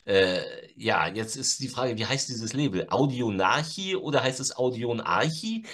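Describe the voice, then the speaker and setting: A man's voice: mid-range voice, expressive, unhurried, a bit bright. A middle-aged man chatting casually as co-host of a show, recorded at home on a video call. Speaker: co-host of an audio-drama news show. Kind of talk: casual chat. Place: room at home, indoors.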